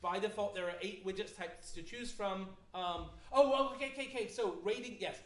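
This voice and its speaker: A man's voice: high voice, fairly quick. A man presenting an awards show on a theatre stage, chatty and playful, often correcting himself. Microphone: handheld mic, far camera. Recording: echoey hall, from far off.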